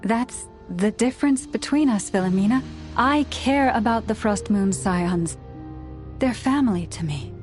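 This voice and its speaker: An actress voicing a solemn, gentle moon priestess in a video game, speaking in a measured, formal and earnest way. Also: female voice, medium pitch, expressive, faint music behind, close to an everyday voice. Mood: pained, earnest. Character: solemn, gentle young woman, devout moon priestess of a clan. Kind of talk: video game character dialogue.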